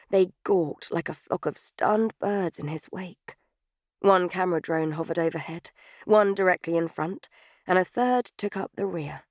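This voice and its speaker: Lower-pitched woman narrating fiction. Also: darkish tone, no room sound, clean studio sound. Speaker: audiobook narrator. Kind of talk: scripted third-person fiction narration.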